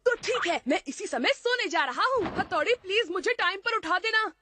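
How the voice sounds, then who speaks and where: A woman's voice: very high-pitched, lively intonation, moderate pace, sharp tone, a little hiss or hum, exaggerated voice. A woman voicing a lazy, cocky schoolboy in a cartoon, with a childlike, boastful, whiny delivery. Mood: pleading. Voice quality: piercing childlike voice.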